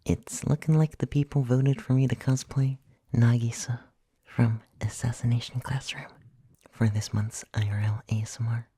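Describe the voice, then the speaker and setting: A man who creates ASMR audio, talking casually to listeners in a soft, breathy, often whispered voice. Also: mid-range voice, no echo, clean studio sound. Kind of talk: casual soft-spoken ASMR channel plug.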